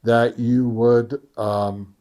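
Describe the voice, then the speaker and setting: A man hosting a casual gear review, thinking aloud in a halting way with folksy, conversational asides. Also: mid-range voice, level tone, dark tone. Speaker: amateur radio gear reviewer and host. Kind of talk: casual product test summary.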